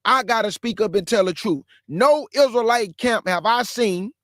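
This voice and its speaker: Male host with a high voice and dry sound, scolds in slang while reacting to clips. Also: moderate pace, fairly bright tone, no room sound. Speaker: show host. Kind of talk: reaction commentary.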